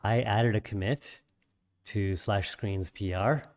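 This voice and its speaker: A man with a low voice that is slightly raspy after an illness talks through a coding tutorial. He explains step by step with frequent ums and restarts. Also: steady tone, slow pace. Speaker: programming instructor. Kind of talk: screen-share coding tutorial.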